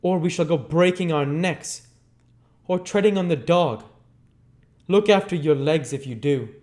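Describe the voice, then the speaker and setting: Gruff London thug, storybook villain, man acting him out, raised pitch, forceful, scornful, impatient. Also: unhurried, lightly acted. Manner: loud, blunt, impatient and forceful. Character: gruff, scornful adult male London robber.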